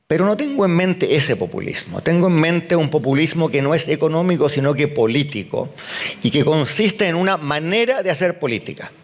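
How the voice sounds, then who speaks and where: A man's voice: fairly high pitch, lively intonation, a little fast. An older man giving a conference keynote as an economist, emphatic, firing rhetorical questions and answering them at once. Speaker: economist speaker. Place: event hall.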